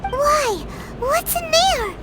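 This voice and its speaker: A tiny, chirpy fairy girl for a video game. The voice is extremely high and childlike, swinging up and down with curiosity. Clear noise sits behind the game audio. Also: lively intonation, very slow, full character voice. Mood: curious, concerned. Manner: childlike, refers to self in third person. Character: small floating fairy companion girl, childlike, chirpy, inquisitive.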